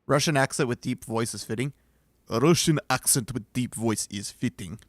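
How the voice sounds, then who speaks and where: A man's voice: medium pitch, moderate pace, no echo. Man, streamer, laid-back chatter with laughs and swearing, dead-dry sound. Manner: reads game lines aloud, laughs and swears. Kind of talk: casual chat over a game.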